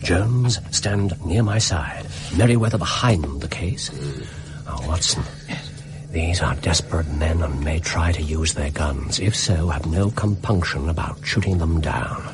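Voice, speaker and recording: An actor playing a commanding, shrewd gentleman detective in a radio drama, crisp and precise in his speech. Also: deep voice, unhurried, background noise. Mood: tense, commanding.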